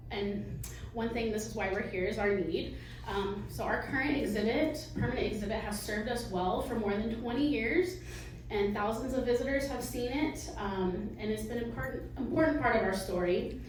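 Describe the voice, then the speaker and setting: A soft-spoken woman, a museum director, giving a live fundraising pitch with nervous little laughs and many ums. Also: moderate pace, a little hiss or hum. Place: meeting hall with audience. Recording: echoey hall from far off.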